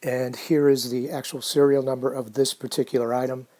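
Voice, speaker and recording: Male hobbyist narrator: relaxed, dark-toned, fairly monotone, unhurried and informal, talking through his own work. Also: medium pitch. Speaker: hobbyist restorer narrating a project. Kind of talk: casual DIY narration.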